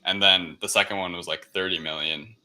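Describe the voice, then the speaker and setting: Low, bright-voiced man in casual chat. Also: steady tone, fairly quick. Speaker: podcast co-host. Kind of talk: casual chat, film review.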